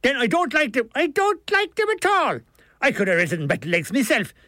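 A man's voice: extremely high pitch, sing-song, fairly quick, bright, forceful tone, no echo, studio quality, pitched above the performer's own voice, full character voice. A man voicing an indignant, lazy, argumentative Irish villager with a Dublin accent in a theatrical, exclamatory comic audiobook style.

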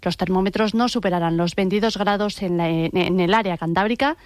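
A female weather reporter reads a scripted radio forecast. She goes very quickly at a medium pitch, in a dry recording. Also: no room sound.

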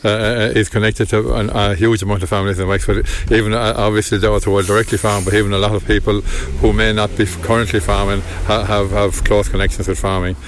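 A man speaking for a farmers' group outdoors on a farm, measured and halting with many restarts. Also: lowish voice, level tone, a little hiss or hum. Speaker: farm organisation representative.

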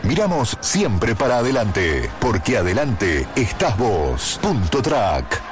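A man's voice: lowish voice, big pitch swings, slow pace. A man announcing a radio advertisement. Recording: clear music bed under voice. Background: music bed.

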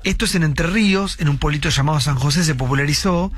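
A man's voice: on the high side, quick pace. A man with an Argentine accent explaining things in casual chat as a radio panelist.

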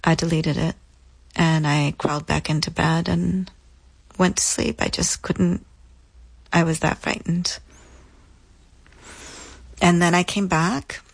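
A woman telling a personal story as an interview guest, reflective and deliberate, with emphatic, repeated phrasing. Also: fairly low pitch, steady tone, vocal fry, slightly rough, no room sound, poor audio.

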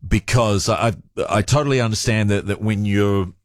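Deep-voiced New Zealand radio host. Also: male voice, no echo, studio quality. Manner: measured, polite, hesitant restarts. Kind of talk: late-night talkback radio.

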